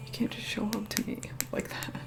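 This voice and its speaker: A woman's voice: fairly quick, close to an everyday voice, rough recording. A woman playing a snobbish, reluctant young vampire in an ASMR roleplay, whispering in a sarcastic, bossy, condescending way. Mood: snide, grudging.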